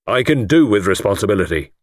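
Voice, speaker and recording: A man's voice: on the low side, big pitch swings, fast talker, dry recording, studio quality. A man voicing a pompous, boastful self-made older lord who talks in a blustering, self-satisfied way.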